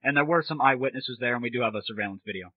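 A man's voice: mid-range voice, racing, no room sound. A sarcastic, foul-mouthed man hosting an irreverent comedy show with prank calls. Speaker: show host. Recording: dull lo-fi old internet radio audio.

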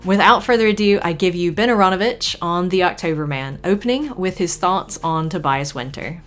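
A woman's voice: lowish voice, fairly quick, fairly bright tone, soft background music. A woman hosting a book preview channel, reading a scripted voice-over.

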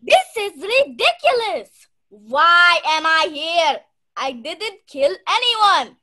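A woman's voice: very high-pitched, very animated, slow, sharp tone, exaggerated voice. A young woman playing an imperious, suspicious murder suspect in a radio mystery play. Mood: indignant.

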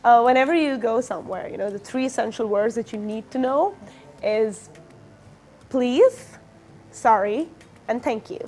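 A woman's voice: a little high, expressive, darkish tone. A young Pakistani woman whose first language is Pashto, hosting a morning TV talk show with a bubbly manner and frequent laughter. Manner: bubbly, laughs often, teaches words slowly. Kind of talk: casual TV interview. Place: TV studio set.

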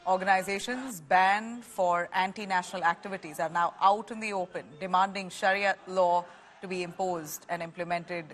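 A woman news anchor reading a scripted report from a TV studio in a brisk, measured delivery. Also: fairly low pitch, fairly even intonation, fairly bright tone.

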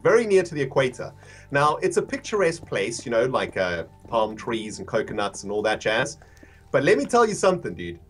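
A man's voice: mid-range voice, huge pitch swings, a little fast, soft background music. A man from South Africa with a mixed South African and English accent, chatting casually as a livestreamer in slangy, laid-back language.

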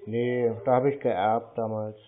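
A man's voice: medium pitch, fairly even intonation, a little slow, slight background noise. A man talking casually on the phone in short, noncommittal replies, as a customer would. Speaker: prank caller posing as interested customer. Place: phone call. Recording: tinny, band-limited phone line.

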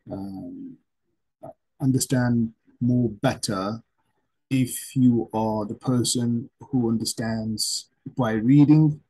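A middle-aged man from Pakistan with a Pakistani accent, a native Urdu speaker, gives an online English lesson from a home room, explaining slowly and repeating himself. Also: medium pitch, mellow, husky, poor audio. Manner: slow, repetitive explaining with many pauses. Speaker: English teacher. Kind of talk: online lecture to camera. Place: home room with plants and shelves.

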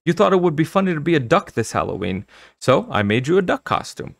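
A man voicing an energetic, insistent middle-aged mother in a storybook, with a brisk, emphatic manner. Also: on the high side, gravelly, no room sound, voice pushed up, full character voice. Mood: bright, brisk.

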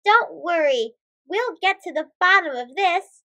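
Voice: very high-pitched, lively intonation, unhurried, pushed and bright, heavily acted. A woman voicing an eager young boy superhero in a storybook, exclaiming dramatically. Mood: alarmed, urgent.

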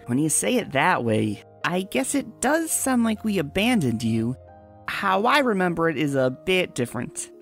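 A woman's voice: on the low side, sing-song, moderate pace, pitched above the performer's own voice. A woman voicing a bubbly, earnest young female cartoon pony who gushes in a chatty way, with music behind. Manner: gushing, conversational.

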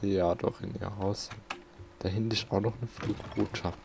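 A man's voice: lowish voice, steady tone, normal speed, gravelly, background noise. A man giving casual gameplay commentary as a let's play host, muttering to himself.

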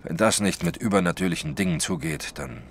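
An actor playing an excitable, emphatic French detective, baffled by a case, in a radio play. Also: male voice, lowish voice, brisk, gravelly, slight background noise, full character voice. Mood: puzzled, insistent. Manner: dramatic, emphatic.

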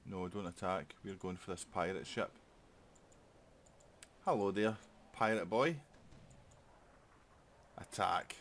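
A man with a low-pitched voice hosts a casual let's play. He chats informally and thinks out loud as he plays. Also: takes it slowly, pauses often. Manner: chatty, thinking aloud while playing. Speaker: gaming channel host.

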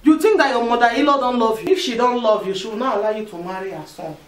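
A woman's voice: fairly high pitch, fast, crowd noise, natural voice. An actress playing a devout woman who comforts a weeping childless friend with insistent, repetitive faith talk. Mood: consoling. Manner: preachy, insistent, repeats phrases. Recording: echoey room, voice from far off.

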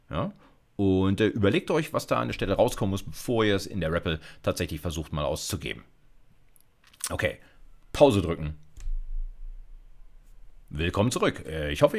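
Very deep-voiced male lecturer. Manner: colloquial, addresses students directly, frequent check-in tags. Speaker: university lecturer. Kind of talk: informal screencast lecture with live coding demo.

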